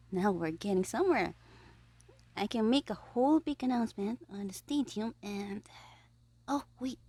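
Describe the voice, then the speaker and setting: A woman voicing a gleeful, scheming young male supervillain in an ASMR audio roleplay, theatrical and petulant, musing aloud with breathy asides. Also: mid-range voice, mellow, no echo. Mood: frustrated musing.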